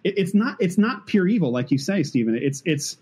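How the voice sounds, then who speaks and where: A man speaks in a hesitant way, stumbling over and repeating his words as he makes his point in a podcast conversation. His voice is pitched slightly higher than most men's. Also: big pitch swings, brisk, darkish tone, husky.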